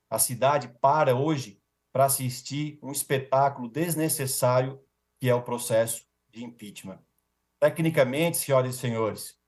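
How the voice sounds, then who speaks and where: Man, Brazilian accent, medium pitch, defense lawyer, formal oratory, rhetorical, emphatic repetition. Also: a little mellow. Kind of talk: formal legal defense speech.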